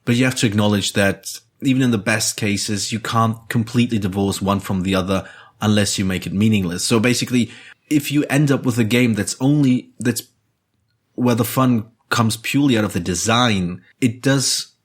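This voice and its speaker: A man co-hosting a casual podcast about board games, explaining ideas in a measured, analytical way with dry wit. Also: lowish voice, breathy edge. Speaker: podcast co-host.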